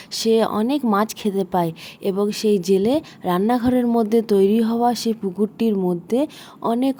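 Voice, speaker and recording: A female narrator tells a cartoon folk tale in a mellow voice of medium pitch. The delivery is scripted, in storybook style.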